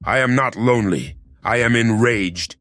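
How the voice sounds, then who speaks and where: A woman's voice: very deep voice, normal speed, a bit bright, no echo, faint music behind. A woman voicing a grim, vengeful female wolf who speaks in terse, menacing cartoon lines. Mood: cold rage. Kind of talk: cartoon dialogue.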